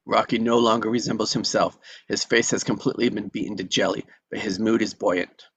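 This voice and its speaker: A man with a fairly low voice serves as narrator at a table read. He reads out scene descriptions and action from a film script. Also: fairly even intonation, fairly quick, a bit bright, slightly rough, no echo.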